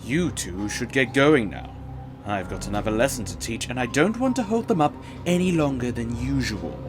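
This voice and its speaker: A man plays an enthusiastic, friendly teacher in an audio drama. His mid-pitched delivery rises and falls animatedly. There is faint noise and ambience behind him. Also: animated, moderate pace, lightly acted. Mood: encouraging. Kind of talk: amateur audio drama acting.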